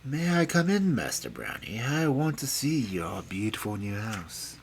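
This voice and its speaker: A reader voicing a sly male fox who speaks softly in a feigned, coaxing gentle voice. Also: medium pitch, very animated, unhurried, slight background noise. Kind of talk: storybook reading.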